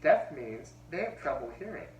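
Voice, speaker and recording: A man's voice: a little high, sing-song, moderate pace, highs cut off. A man reads the lines of a patient mother explaining the world to her young boys in a storybook. The voice rises and falls a lot and sounds far off in an echoey room. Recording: roomy echo, far from the mic, faint hum.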